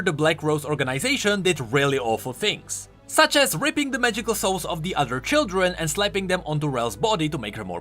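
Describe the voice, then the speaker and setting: A man's voice: fairly high pitch, sharp tone. A man presenting explanatory video commentary on game lore, informal and emphatic in his delivery. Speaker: YouTube game lore host.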